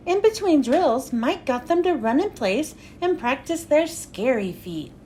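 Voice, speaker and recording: A woman reading a children's storybook aloud as a read-aloud host, with expressive, clearly phrased storytelling. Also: sits high, unhurried, a little hiss or hum.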